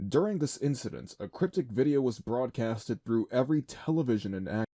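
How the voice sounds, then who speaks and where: A man playing a serious TV news anchor, reading scripted reports in a measured, formal way. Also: medium pitch, lively intonation, dark tone, lightly acted. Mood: grave. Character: grave male TV news anchor reporting disappearances.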